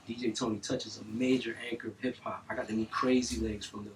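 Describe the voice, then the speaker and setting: A middle-aged man from North Miami telling stories in a casual studio interview, animated and full of street slang and cursing. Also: on the low side, quick pace. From North Miami, Florida. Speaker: music engineer guest. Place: recording studio. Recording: distant mic, faint noise.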